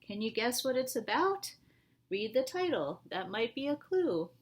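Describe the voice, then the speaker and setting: A woman reading a picture book aloud to young children in an animated way, often asking them questions. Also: fairly high pitch, unhurried, breathy edge.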